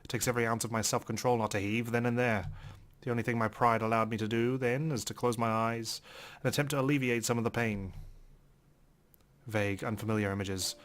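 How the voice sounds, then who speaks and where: A man hosting a let's play, reading a visual novel aloud in a measured, theatrical way. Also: medium pitch, fairly even intonation, fairly bright tone. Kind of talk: dramatic read-aloud narration of a visual novel with commentary.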